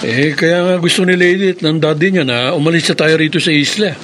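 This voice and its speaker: A worried young man, played by an actor in a radio drama, his voice pitched high for a male. Clear background noise surrounds his earnest lines. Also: fairly even intonation, brisk, fairly bright tone, lightly acted. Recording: noisy background.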